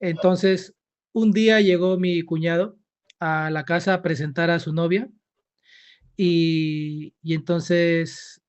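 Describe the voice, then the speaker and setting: A man in his thirties with a Peruvian accent, an author answering interview questions hesitantly with many fillers and pauses. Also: fairly high pitch, fairly even intonation, smooth. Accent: Peruvian Spanish. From Peru. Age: 30s.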